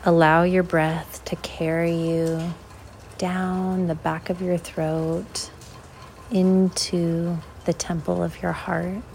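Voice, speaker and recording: A woman leading a guided visualization in an unhurried, measured way, leaving long pauses between short phrases. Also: on the low side, flat delivery, creaky, a little mellow, slight background noise. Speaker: guided meditation host.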